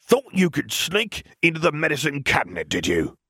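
A furious middle-aged uncle from a storybook, acted by a male narrator, ranting with open contempt. His voice climbs well above the reader's low register into a bullying tirade, in a close, dry recording. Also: wildly swooping pitch, normal speed, sharp tone, slightly rough, no room sound, exaggerated voice. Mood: barking anger.

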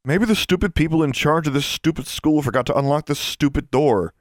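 A surly schoolgirl played by a male narrator, voiced only slightly higher than an ordinary man. She grumbles scornfully and disdainfully in a storybook reading, with dry, close sound. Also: brisk, dry recording, close to an everyday voice.

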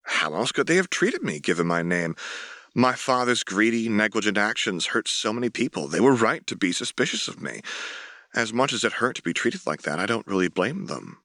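Man acting a bright-voiced, earnest young military officer. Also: on the low side, sing-song, moderate pace, no echo, studio quality, full character voice. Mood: resigned, determined. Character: earnest, self-effacing, duty-driven young military officer. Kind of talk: dramatic audiobook dialogue reading.

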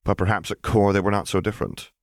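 Male audiobook narrator: low register, very varied melody, expressive story delivery, dry recording. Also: quick pace, no room sound.

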